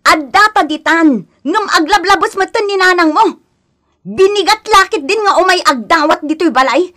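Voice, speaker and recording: Very high-voiced, aggrieved Ilocano wife. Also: female voice, animated, moderate pace, sharp tone, exaggerated voice. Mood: indignant. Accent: Filipino, Ilocano. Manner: plaintive, protesting. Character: aggrieved young wife, resentful of her in-laws. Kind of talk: radio drama acting.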